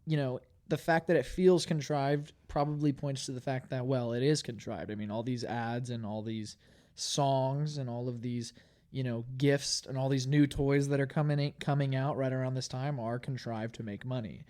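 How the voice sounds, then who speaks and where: A man's voice: mid-range voice, steady tone, a little mellow. A man, a podcast co-host, sharing thoughtful, hedged opinions in a casual group chat. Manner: measured, thoughtful, hedging opinions.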